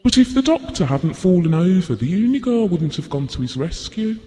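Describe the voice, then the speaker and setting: Reverberant hall sound, male actor as wistful middle-aged widower, mellow tone, audio drama, shy and gentle. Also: high voice, very animated, normal speed. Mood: thoughtful. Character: shy, gentle widowed father who people-watches. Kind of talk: short audio play dialogue.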